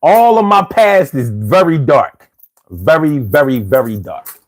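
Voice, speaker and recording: A man's voice: on the high side, very animated, a little slow. A man hosting a casual live stream, reading out viewer comments with laid-back, chuckling talk, from the United States.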